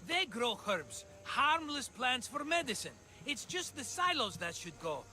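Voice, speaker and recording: A man playing an excitable, scheming merchant in a video game, chatty, dramatic and wheedling. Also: very high voice, a little slow, soft background music, exaggerated voice. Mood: scheming, excited. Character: excitable scheming cheerful male merchant, always broke. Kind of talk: video game cutscene acting.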